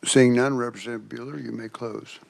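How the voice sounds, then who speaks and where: A man's voice: mid-range voice, steady tone, brisk, gravelly, darkish tone. A man chairing a legislative committee meeting, running procedure in a courteous manner.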